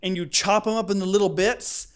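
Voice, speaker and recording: High-voiced, excitable man ranting comically. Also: brisk, clear, resonant tone. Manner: excitable, theatrical, acts out reactions. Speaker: podcast co-host. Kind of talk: casual chat, comic ranting.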